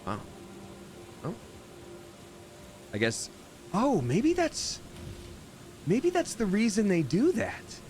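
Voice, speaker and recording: A man chatting casually and at length while streaming a video game, rambling along and jumping up in excitement. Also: high-pitched, slow pace, long pauses, a little hiss or hum. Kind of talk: live gameplay commentary. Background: game music.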